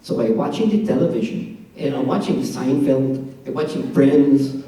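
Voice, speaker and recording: A man's voice: medium pitch, lively intonation, moderate pace, dark tone. A man in his sixties with a New York accent, doing a self-mocking stand-up set with storytelling punchlines at a benefit event. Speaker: amateur stand-up comedian. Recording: echoey room, distant mic, audience. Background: audience laughter.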